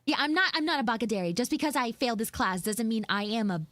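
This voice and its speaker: Woman playing a high-voiced, pouty tsundere anime girl. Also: a bit bright, dry recording, natural voice. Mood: indignant. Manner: defensive, pouty, halting and repetitive. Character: flustered, defensive tsundere anime schoolgirl, mean but secretly soft. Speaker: livestreamer and VTuber voice actress. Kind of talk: improvised live audio roleplay with chat asides.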